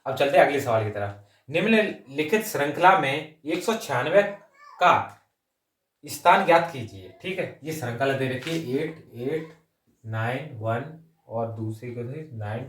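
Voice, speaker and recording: A man's voice: mid-range voice, fairly bright tone. A man teaching an exam-coaching maths lesson, working through sums step by step, mixing in English terms and often adding short check-in phrases. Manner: mixes English number words and terms into the talk. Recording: roomy echo, distant microphone.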